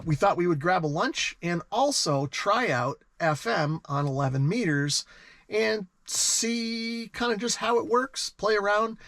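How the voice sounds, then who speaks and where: A middle-aged American man hosts a hobby video in a slightly high-pitched voice. He explains things conversationally, as if chatting straight to the viewer. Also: dry recording. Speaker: radio hobbyist video host. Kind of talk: explanatory vlog talk to camera.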